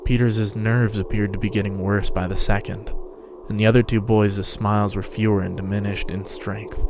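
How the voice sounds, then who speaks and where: A man's voice: lowish voice, little pitch movement, normal speed, background noise. A man giving a scripted, dramatic narration of a story. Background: music and sound effects.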